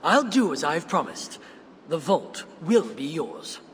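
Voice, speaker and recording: In long reverb, a nervous, eager male helper speaks in a high, animated voice. The delivery is excitable game cutscene acting. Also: takes it slowly, exaggerated voice. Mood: earnest, uneasy. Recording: long reverb, faint noise.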